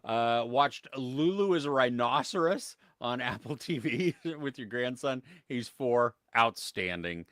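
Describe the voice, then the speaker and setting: A man's voice: mid-range voice, animated, measured pace, hardly any pauses, a bit bright, no room sound, studio quality. An American man co-hosting a live podcast, chatting casually about movies and reading viewer comments, with lots of fillers and frequent laughs. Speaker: podcast co-host. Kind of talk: casual live-stream chat.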